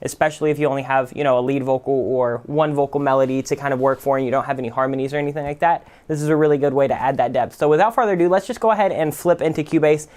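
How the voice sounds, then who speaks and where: A man giving a casual, conversational software tutorial as the host. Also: medium pitch, very fast, few pauses, clean tone. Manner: informal, conversational. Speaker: music production tutorial host. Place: indoors at a computer.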